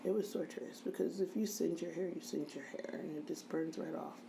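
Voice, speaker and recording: A middle-aged woman in an interview, recalling her childhood in a halting way with many pauses. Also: low voice, fairly even intonation, creaky, background noise. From grew up in a US city in a Haitian immigrant family. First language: Haitian Creole. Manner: halting, many ums and restarts. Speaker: interviewee, registered nurse. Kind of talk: reflective interview recollection.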